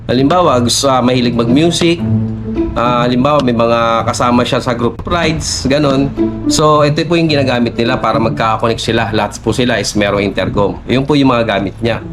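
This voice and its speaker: A man's voice: mid-range voice, brisk. Filipino man casually explaining products, music behind. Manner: conversational, polite, code-switching with English terms. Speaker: shop salesperson.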